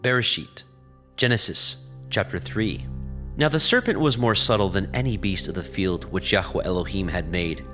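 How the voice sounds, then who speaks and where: A man narrating scripture in a steady, reverent reading. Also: deep voice, moderate pace. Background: background music.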